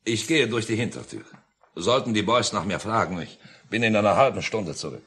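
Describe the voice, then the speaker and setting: Army officer, very low voice, lively intonation, reserved and polite, 1950s radio drama. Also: male voice, fairly quick. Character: polite occupying army officer, quiet and friendly. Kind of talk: 1950s radio play acting. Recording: noisy mono 1950s radio recording.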